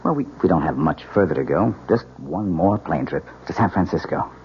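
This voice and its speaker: An actor playing a breezy, affectionate new husband in a 1970s radio drama, casual, coaxing and teasing. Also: male voice, low-pitched, animated, a little fast. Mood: attentive, casual. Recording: dull, slightly noisy vintage radio broadcast.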